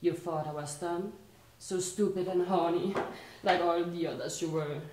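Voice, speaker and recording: A Danish woman with a Danish accent reciting her own poetry at a live reading, her lines slow and rhythmic, sinking quieter at each ending. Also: lowish voice, fairly even intonation, echoey room, background noise. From Denmark. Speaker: poet performing at a live reading. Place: art and culture community venue.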